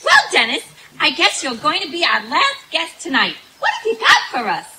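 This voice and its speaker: Woman playing a high, swooping ditzy radio comedienne. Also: wildly swooping pitch, normal speed, bright, forceful tone, heavily acted. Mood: curious, playful. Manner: artless, scatterbrained, playful. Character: ditzy, cheerful, naive female radio comedienne. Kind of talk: 1940s radio comedy acting. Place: outdoor night stage in woods. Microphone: stage microphone, filmed by distant camera. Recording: noisy outdoor live stage recording.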